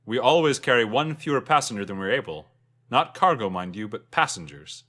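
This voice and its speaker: A man's voice: mid-range voice, animated, brisk, bright, forceful tone. A man voicing a confident, commanding riverboat captain in a fantasy story, with emphatic delivery. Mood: matter-of-fact.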